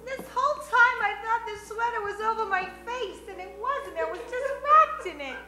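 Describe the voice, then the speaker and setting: A woman's voice: extremely high pitch, lively intonation, moderate pace, sharp tone, heavily acted. A woman playing a goofy, perky, eager detective's assistant in a comic radio play. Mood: giddy. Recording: echoey hall with music behind.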